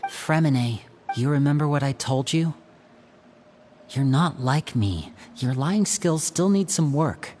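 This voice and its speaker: Low, composed young spy. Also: female voice, animated, unhurried, darkish tone, a little hiss or hum. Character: young member of a secretive family, calm and watchful. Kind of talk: game voice acting. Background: game music.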